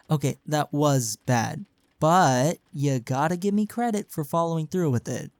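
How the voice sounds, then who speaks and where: A man's voice: fairly high pitch, animated, a little slow, darkish tone, no room sound. A young man acting in an intimate audio roleplay as a caring, teasing college best friend, talking casually and earnestly. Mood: playful, chatty. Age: young adult.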